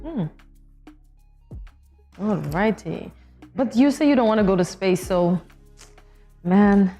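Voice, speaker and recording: A woman's voice: medium pitch, lively intonation, slow pace, mellow, faint music behind. A woman co-hosting a morning show, chatting casually with playful, competitive banter. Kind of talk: casual studio chat.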